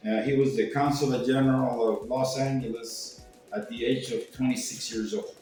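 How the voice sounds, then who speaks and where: A man's voice: mid-range voice, steady tone, normal speed, darkish tone. An older man from Cuba tells personal stories in a casual, rambling, salty way, acting out what people said. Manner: rambling, salty, acts out quoted remarks. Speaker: speaker telling personal stories. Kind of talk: casual anecdotal talk. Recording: echoey room, distant mic, faint music.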